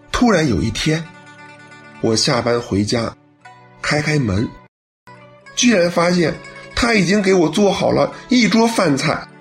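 A man acting in a radio play as a grateful, earnest son telling of his mother's recovery. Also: a little high. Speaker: radio drama actor.

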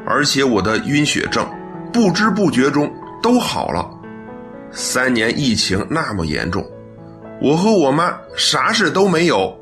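Young honest cop in a radio play, earnest, really lively intonation, bit of music behind. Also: male voice, mid-range voice, unhurried. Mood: sincere. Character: upright young policeman, sincere, devoted son. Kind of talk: radio drama acting.

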